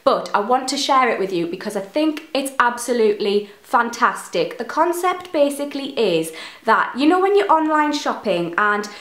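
A young woman with a fairly high voice talks straight to camera about makeup, chatty and gushing with enthusiasm. A cold leaves her a little nasal. The echoey bedroom gives her voice some ring. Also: lively intonation, normal speed, fairly bright tone. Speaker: beauty vlogger. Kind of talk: casual product review to camera. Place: bedroom, indoors. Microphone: camera in front at close range.

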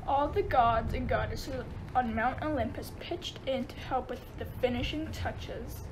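A woman's voice: fairly high pitch, measured pace, background noise. A woman giving scripted storytelling narration for a radio play.

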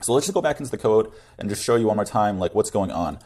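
A man's voice: on the low side, expressive, quick pace, a little mellow. A young man presenting a coding tutorial in a casual screencast style. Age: young adult.

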